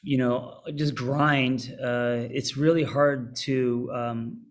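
A man's voice: medium pitch, steady tone, slow. A man co-hosting the show, moderating a group call with brief prompts and thanks, often using fillers. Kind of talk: casual moderation.

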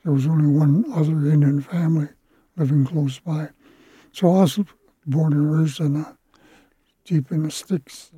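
A man in his eighties from Wisconsin with an American accent, recalling his life in a halting, plain-spoken, reflective way. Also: a little high, fairly even intonation, dark tone, husky, no room sound, low-quality recording. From Wisconsin, United States. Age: 80s. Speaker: interviewed community elder. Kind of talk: reflective personal recollection.

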